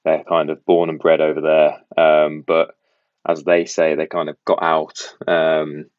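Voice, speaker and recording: A man's voice: very deep voice, monotone, unhurried, dry recording, muffled recording. A young man who grew up in Belgium, with an international English accent carrying faint West Midlands traces, chatting casually and self-deprecatingly as a podcast guest.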